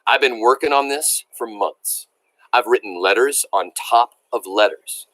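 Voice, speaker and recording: Man acting an animated, eager old country grandpa. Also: medium pitch, normal speed, poor audio. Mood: serious, earnest. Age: older. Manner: emphatic, blurting, folksy. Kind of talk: storybook read-aloud.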